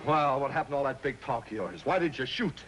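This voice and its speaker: A man's voice: mid-range voice, animated, quick pace, natural voice. Man as a needling Old West townsman. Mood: contemptuous. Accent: American. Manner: mocking, needling. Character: scornful, teasing male townsman of the Old West. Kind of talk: 1950s television western acting. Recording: old TV soundtrack with music.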